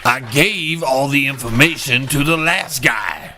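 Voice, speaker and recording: A man's voice: medium pitch, animated, unhurried, bright, forceful tone, faint music behind. A man playing an irritable, blunt cable customer who curses and complains in a comedy phone-call sketch. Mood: fed up. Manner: exasperated, blunt, cursing complainer. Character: irritable, cranky cable customer named Shady, quick to curse.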